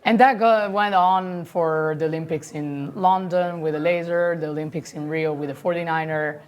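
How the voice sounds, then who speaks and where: A woman with an Italian accent and a notably low voice chats in a relaxed interview. She laughs easily and slips into little acted-out quotes of what other people said. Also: moderate pace, lo-fi sound. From Italy. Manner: chatty, laughs often, acts out quoted dialogue. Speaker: professional sailor guest. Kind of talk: casual interview conversation.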